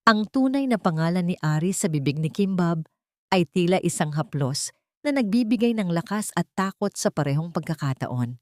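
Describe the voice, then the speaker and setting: A woman reads a scripted dramatic story as its narrator. Her voice is on the lower side for a woman, and the recording is dry with very little room sound. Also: animated, normal speed, dry recording.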